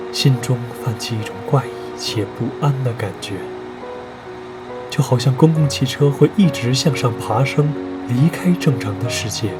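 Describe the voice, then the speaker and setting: A man's voice: mid-range voice, close to an everyday voice. A man playing the solemn storyteller of an eerie radio drama, narrating in descriptive, literary Mandarin. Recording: loud music behind narration.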